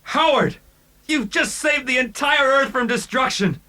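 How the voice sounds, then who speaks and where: A high, bright male voice playing an anxious young scientist sidekick in a children's read-along adventure. He is frantic and excitable, exclaiming his way through the story. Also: unhurried, full character voice. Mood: excited, alarmed.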